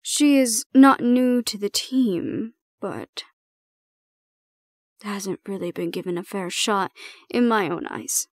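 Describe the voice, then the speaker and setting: A woman playing a composed, stern female supervisor in an audio roleplay, speaking in a measured, formal and deliberate way. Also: medium pitch, no room sound, clean studio sound. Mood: calm, formal. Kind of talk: scripted audio roleplay acting.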